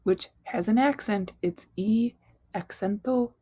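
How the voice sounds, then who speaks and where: A woman's voice: medium pitch, takes it slowly, dark tone, slightly rough, no room sound. A young woman, an English speaker, teaching a casual online Spanish lesson in a chatty way, with fillers and quiz-style prompts.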